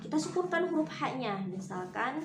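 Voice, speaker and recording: A woman Quran teacher from Indonesia giving a lesson for children, patiently repeating sounds slowly to demonstrate them. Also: sits high, sing-song, noisy background. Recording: echoey room with faint ambience.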